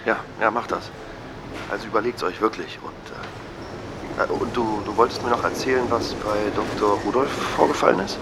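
An actor playing a placating, evasive middle-aged family friend in an audio drama, speaking haltingly. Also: male voice, on the low side, noisy background, close to an everyday voice. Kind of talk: naturalistic audio drama dialogue.

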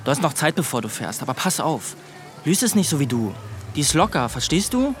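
Lively, high-voiced cocky teenage boy. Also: fairly quick, soft background music. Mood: goading. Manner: slangy, cocky, teasing. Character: brash, crude, swaggering teenage boy, a holiday buddy. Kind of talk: colloquial radio drama dialogue.

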